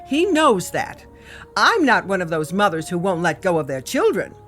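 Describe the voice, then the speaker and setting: A woman's voice: lowish voice, very animated, normal speed, fairly bright tone, soft background music. An actress playing a doting, possessive mother of a grown son, fussing emotionally in an old television drama. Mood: fretful, scolding. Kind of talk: mid-century television drama acting.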